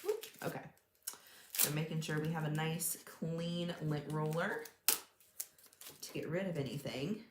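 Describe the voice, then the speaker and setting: A young woman hosting a live crafting stream from her home craft room, chatting casually, thinking aloud, laughing often and reading out viewer comments in a loud voice. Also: deep voice, fairly even intonation, takes it slowly, long pauses, echoey room, heavy background noise. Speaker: crafting livestream host. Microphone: tablet mic across the room.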